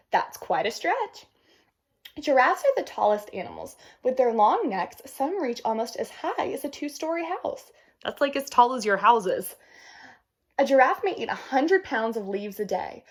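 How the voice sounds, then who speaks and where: A woman reads a nature book aloud for children in a fairly high voice with a husky edge. Her pitch moves around a lot as she goes. She slips between reading the text and casual, teacherly remarks to her young listeners. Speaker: read-aloud presenter.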